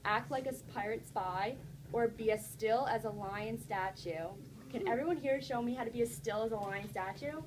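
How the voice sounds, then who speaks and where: High-pitched woman reading aloud to children. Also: normal speed, noisy background. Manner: encouraging, instructive, addresses children directly. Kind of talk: interactive storybook read-aloud with questions to a class.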